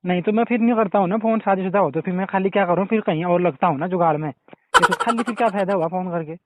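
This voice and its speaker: A man's voice: sits high, fast talker, heavy background noise. A man with a Kumaoni accent making a comic prank call, persistent and pleading. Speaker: prank caller. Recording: tinny phone line.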